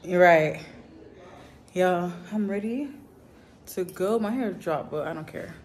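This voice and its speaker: A woman's voice: on the low side, takes it slowly, a crowd in the background. A young woman vlogger chatting casually and informally to camera in slangy speech, indoors on a handheld phone. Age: young adult. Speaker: travel vlogger. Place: indoors in villa rooms. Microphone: phone at arm's length. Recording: noisy handheld vlog audio.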